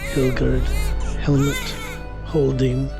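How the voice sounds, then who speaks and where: A man performs a sardonic warlock narrating a horror radio drama. The voice is markedly gravelly and theatrical, pausing for effect like a killer confessing, with music playing underneath. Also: mid-range voice, completely flat delivery. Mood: rueful. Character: male warlock killer, wry, self-deluding, guilt-ridden confessor. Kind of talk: first-person horror audio drama monologue.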